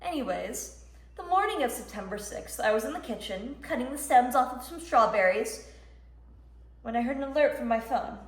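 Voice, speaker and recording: A woman performing a dramatic monologue as a frantic, grieving mother, with emphatic delivery and heavy pauses. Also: fairly high pitch, lively intonation, a little hiss or hum. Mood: wistful, reflective. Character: frantic mother of a middle schooler, desperate and grieving. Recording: echoey room, voice ringing off walls.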